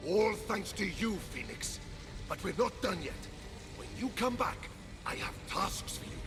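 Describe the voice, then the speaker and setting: An actor playing a vain, touchy, blustering god of war in a video game, bombastic and comically dramatic. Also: male voice, high-pitched, very animated, a little slow, over music. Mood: bossy, boastful. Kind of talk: video game cutscene acting.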